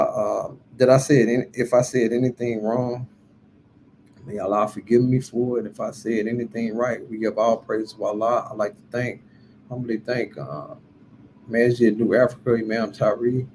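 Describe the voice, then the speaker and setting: A man giving an informal religious talk as a guest speaker, with a humble, halting delivery. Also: on the low side, low-quality recording. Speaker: guest speaker and self-published author. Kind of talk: informal public religious address and chat. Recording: steady background noise.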